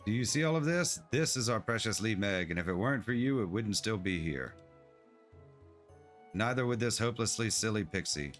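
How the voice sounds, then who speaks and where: A man's voice: lowish voice, lively intonation, normal speed, a bit bright, faint music behind. A man voicing a small, playful, carefree fairy pixie in a sing-song, gushing manner while reading game dialogue aloud. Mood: proud.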